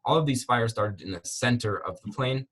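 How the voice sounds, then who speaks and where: A young man giving a technical project presentation as a student, explaining in a slightly halting way. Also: mid-range voice, a bit bright.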